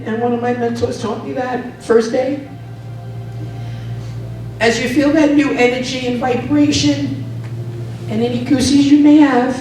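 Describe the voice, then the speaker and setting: A hoarse woman giving an earnest, grateful spoken prayer during a church service. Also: sits high, warm tone. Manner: earnest, effusive gratitude. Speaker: church member leading a prayer. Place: church sanctuary. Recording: echoey room, distant mic.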